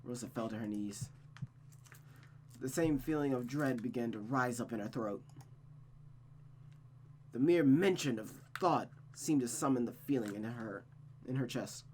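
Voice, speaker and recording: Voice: medium pitch, a little slow, pauses often, a little mellow, slight background noise. A host reading a visual novel aloud in dramatic narration, stumbling and rereading phrases, with casual asides.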